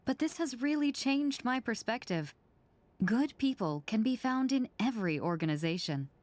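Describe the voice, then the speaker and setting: A woman playing a friendly, grateful young townswoman in a video game, speaking in an earnest, warm manner. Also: on the high side, expressive, moderate pace, close to an everyday voice.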